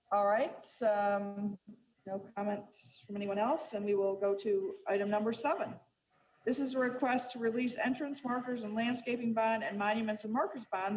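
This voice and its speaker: A woman presiding over a city council meeting, speaking in a measured way with frequent fillers and restarts. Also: medium pitch, a little mellow, rough recording.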